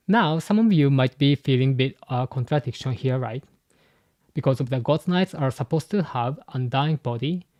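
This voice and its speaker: A man hosts his channel, walking through his points in a methodical, scripted way. His voice sits at an ordinary middle pitch. The sound is close and dry, with no room echo. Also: a little mellow. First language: Japanese. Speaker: YouTube host and translator. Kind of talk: scripted commentary.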